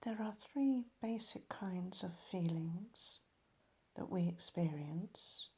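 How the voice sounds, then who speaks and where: A woman leading a guided meditation as a teacher, calm and measured, letting her phrases end softly. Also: on the low side.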